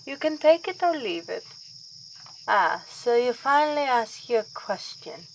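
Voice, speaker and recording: A woman's voice: on the high side, sing-song, a little slow, poor audio. A woman playing a reluctant vampire princess in an ASMR roleplay, kindly and formal with wry, weary asides. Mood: chiding, kindly. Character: reluctant vampire princess, kindly but exasperated, gently haughty. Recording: outdoor ambience with faint insect noise.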